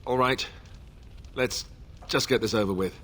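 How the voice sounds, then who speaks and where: A man's voice: mid-range voice, a little slow, fairly bright tone. A man voicing a young warrior in a video game, wry and earnest, an awkward reluctant leader. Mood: reluctant, uneasy. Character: young male warrior, awkward, sardonic, reluctant heir turned rallying leader. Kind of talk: video game dialogue acting.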